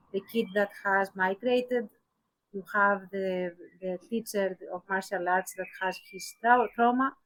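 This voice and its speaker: A woman's voice: mid-range voice, fairly bright tone, rough recording. A woman co-hosting a podcast, casually giving her opinions and repeating words as she forms her thoughts. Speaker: podcast co-host.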